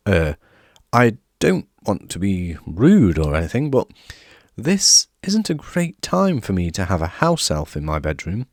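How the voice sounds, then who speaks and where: A man voicing a polite, awkward young wizard boy who talks in a hushed, flustered way. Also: sits low, very animated, unhurried, no room sound, studio quality, exaggerated voice.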